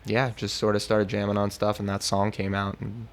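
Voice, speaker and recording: Casting: American male musician, casual interviewee, laid-back slangy talk, lowish pitch with heavy vocal fry. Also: completely flat delivery, moderate pace. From New York, United States.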